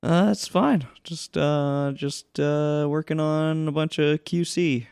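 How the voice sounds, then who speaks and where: Male podcast co-host, smooth clear mid-range voice, casual and grumbling, halting delivery, very dry sound. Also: on the high side, steady tone, darkish tone, no room sound. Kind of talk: casual chat.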